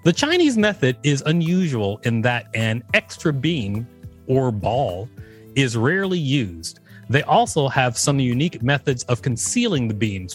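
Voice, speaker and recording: A man's voice: medium pitch, breathy edge. A male podcast co-host reading an encyclopedia article aloud, with an amused, casual manner.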